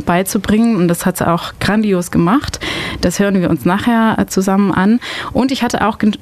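A woman's voice: fairly low pitch, a little mellow. A woman hosts a community radio programme from a studio. German is not her first language, and she talks in a hesitant way with many fillers and restarts as the chat goes along. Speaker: radio host.

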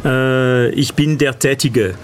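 A man's voice: medium pitch, steady tone, slow. A middle-aged man from Catalonia with a Spanish accent tinged by French, speaking hesitantly with many fillers as he answers interview questions. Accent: Spanish, with French influence. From Catalonia, Spain. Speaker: guest, association organizer.

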